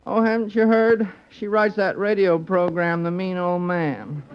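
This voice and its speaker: A man's voice: sits high, steady tone, measured pace, very noisy. An actor playing a meek, apologetic male tenant in a 1950s radio comedy. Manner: timid, apologetic. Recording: dull old radio recording.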